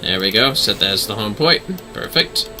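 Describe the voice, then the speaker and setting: Low-key man muttering through a game stream. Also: lively intonation, moderate pace, music in the background. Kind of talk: casual livestream game commentary.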